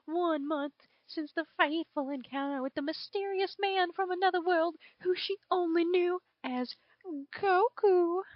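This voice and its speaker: A woman's voice: extremely high pitch, fairly even intonation, a little slow, no echo, highs cut off. A woman voicing a drawling southern dragon. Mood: dramatic. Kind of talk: reading fan fiction aloud.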